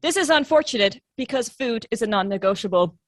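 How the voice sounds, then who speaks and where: A woman's voice: on the high side, expressive, a little fast, no room sound, clean studio sound. A woman co-hosting a podcast, chatty and self-deprecating, moving between casual banter and a read intro. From German and Hungarian family. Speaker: podcast co-host. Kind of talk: casual chat and scripted intro.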